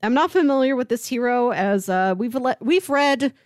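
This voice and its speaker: Female podcast co-host, conversational, slightly high register, very dry recording. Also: lively intonation, moderate pace, dry recording. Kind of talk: casual podcast chat, reading out comic blurbs.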